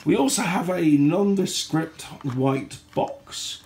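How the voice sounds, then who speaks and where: A man from the UK with a sore throat, chatting casually through an unboxing at home, recorded on a handheld phone and clearing his throat now and then. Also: fairly high pitch, lively intonation, slow, a little mellow, slight background noise. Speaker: product reviewer. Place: indoor home room. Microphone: phone held in hand.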